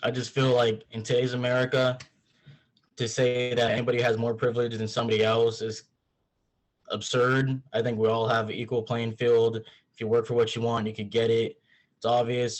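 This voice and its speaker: A man's voice: mid-range voice, level tone, normal speed, low-quality recording. A twenty-year-old man giving blunt, assured answers in an interview.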